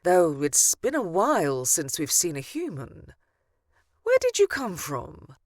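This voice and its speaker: A woman's voice: lowish voice, wildly swooping pitch, measured pace, no echo, studio quality. A woman playing a seductive dusk elf vampire in an audio drama, doting and protective, with a sultry, cooing manner. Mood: teasing, sultry. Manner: cooing, sultry, purring endearments. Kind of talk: audio drama character acting.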